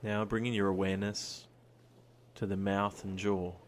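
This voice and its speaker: Man, deep voice, meditation guide, soothing and gentle, long pauses, soft ocean surf in the background. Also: flat delivery, slight background noise. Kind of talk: guided body scan meditation. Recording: surf sounds behind the voice. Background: ocean surf ambience.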